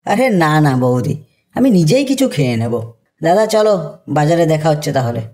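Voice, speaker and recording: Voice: mid-range voice, big pitch swings, fairly quick, mellow, soft background music. A voice actor playing an easygoing, conciliatory younger village husband in a cartoon. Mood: placating. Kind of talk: Bengali cartoon voice acting.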